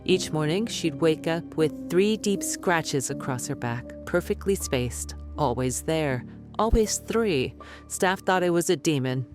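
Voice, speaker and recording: Actress as a low-voiced, smug woman doctor. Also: lively intonation, unhurried, over music. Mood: lecturing. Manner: clinical, self-assured, condescending. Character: smug, dismissive female doctor and skeptic author. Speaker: doctor, expert guest. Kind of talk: radio interview acting.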